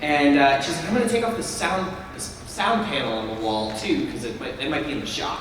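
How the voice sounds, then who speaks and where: A man's voice: fairly high pitch, sing-song, fast talker, fairly bright tone. Man telling stories in an echoey hall. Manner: rambling, filler-heavy storytelling. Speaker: voice actor on a convention panel. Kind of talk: casual anecdote telling. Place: large convention panel room. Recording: echoey convention hall from far off, noisy.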